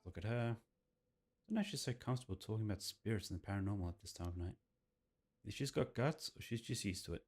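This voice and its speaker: A man's voice: on the low side, sing-song, fast talker, darkish tone. A man casually commentating on a game as he plays, thinking aloud and reading on-screen text. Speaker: game streamer. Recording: game music behind the voice.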